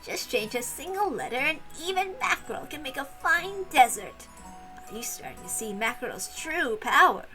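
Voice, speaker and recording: A woman's voice: high-pitched, big pitch swings, moderate pace, pushed and bright, faint music behind, full character voice, rough recording. A woman voicing a sly old female servant in a visual novel reading, playful and theatrical as she teases with riddles. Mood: mock regretful.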